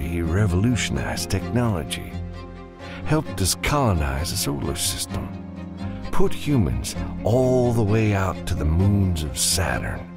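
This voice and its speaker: Low slow guy reading a sci-fi audiobook, dramatic pauses, music playing behind him. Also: vocal fry. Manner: measured, deliberate storytelling with dramatic pauses. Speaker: audiobook narrator. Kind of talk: scripted dramatic science fiction audiobook narration.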